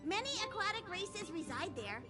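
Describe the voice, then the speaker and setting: A woman's voice: extremely high pitch, sing-song, normal speed, loud music behind the voice. A woman voicing a small, cute mascot creature who conducts a train, speaking formally and earnestly in a video game. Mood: businesslike. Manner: formal, officious, speaks of self in third person. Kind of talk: video game character acting.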